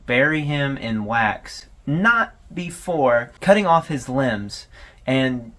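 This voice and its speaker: A man's voice: mid-range voice, sing-song, slow, fairly bright tone. A man hosting a video analysis, giving casual, conversational commentary with hesitations and informal asides. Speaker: host of a horror series analysis video.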